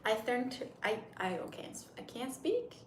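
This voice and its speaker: A woman's voice: on the high side, big pitch swings, a little slow. A 30-year-old woman from Turkey, a native Turkish speaker, hosts a casual livestream in English, rambling chattily as she answers viewers. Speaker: livestream host. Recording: echoey room with faint noise.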